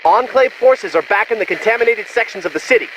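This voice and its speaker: A man's voice: high-pitched, animated, quick pace, a bit bright, low-quality recording. An actor playing an urgent, insistent male ally who briefs the hero in a video game. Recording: heavy game sound and vehicle noise.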